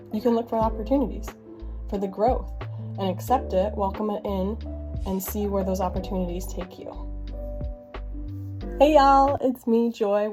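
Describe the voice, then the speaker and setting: A woman, hoarse from illness, talking earnestly and encouragingly to her viewers from her bedroom office. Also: mid-range voice, mellow. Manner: earnest, encouraging, laughs often. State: hoarse, voice coming and going from illness. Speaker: vlogger sharing her life as a widowed mother. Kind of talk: heartfelt casual talk to camera. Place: home bedroom used as an office.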